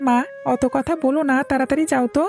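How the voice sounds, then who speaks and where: A woman playing an eager, whiny young village boy in a Bengali animated cartoon, pleading and impatient with the grown-ups. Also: high voice, fast, gravelly, faint music behind.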